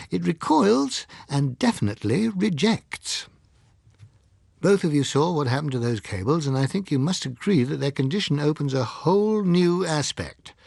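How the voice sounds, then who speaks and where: A man playing an apologetic, courteous naval captain who speaks in a measured, formal way in an audiobook. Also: medium pitch, vocal fry, a little mellow, husky, dry recording, clean studio sound, pitched above the performer's own voice, heavily acted.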